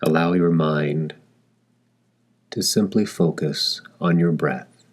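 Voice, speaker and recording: Male meditation guide: extremely low pitch, slow delivery, gentle and calm, with long deliberate pauses. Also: breathy edge. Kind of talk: guided meditation, slow soothing scripted narration.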